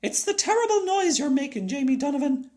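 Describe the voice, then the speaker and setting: A man voicing a mad, cranky old woman from a storybook, shrill and yelling. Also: very animated, a little fast. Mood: outraged yelling. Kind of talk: storybook character voice.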